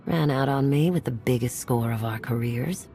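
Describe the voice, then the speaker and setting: An actress playing a no-nonsense smuggler in a game cutscene, teasing her partner in a deadpan way. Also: rumbling low, normal speed, soft background music. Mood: wry, unimpressed. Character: dry, no-nonsense female smuggler, the rogue's partner. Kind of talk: video game cutscene acting.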